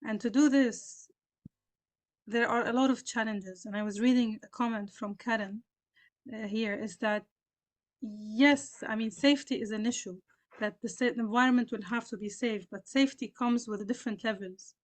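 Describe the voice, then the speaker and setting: A woman's voice: a little high, fairly even intonation, normal speed. A Palestinian woman with a Palestinian and Lebanese Arabic accent, speaking on a panel in an earnest, reflective way with frequent fillers. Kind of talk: panel discussion.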